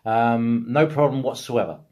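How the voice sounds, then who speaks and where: A man's voice: medium pitch, measured pace, a little mellow. Middle-aged man, British accent, casual rambling storyteller, blunt, emphatic, sweary. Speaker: video blogger.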